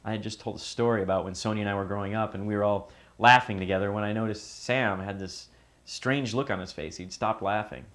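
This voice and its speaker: An actor playing an uneasy man giving a halting, understated account in a naturalistic documentary interview style. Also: on the low side, steady tone, fairly bright tone, natural voice. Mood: puzzled. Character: uneasy man recounting meeting his secret half-brother.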